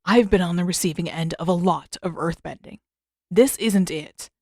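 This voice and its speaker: A woman's voice: fairly low pitch, moderate pace, darkish tone, no echo, studio quality. Woman as blunt, earnest young prince. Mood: matter-of-fact.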